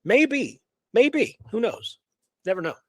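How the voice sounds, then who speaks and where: A man's voice: very high voice, sing-song, slow pace, no echo, studio quality. A man co-hosting a history talk show, reading details out with emphasis and adding casual conversational asides. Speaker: co-host presenting a true story. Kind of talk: conversational radio show narration, reading notes aloud with commentary.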